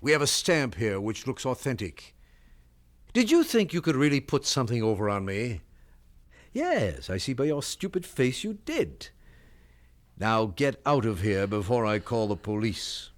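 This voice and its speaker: An actor playing a ruthless middle-aged tycoon who talks with cold contempt, in a 1970s radio drama. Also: male voice, mid-range voice, very animated, measured pace. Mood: contemptuous. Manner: sneering, contemptuous.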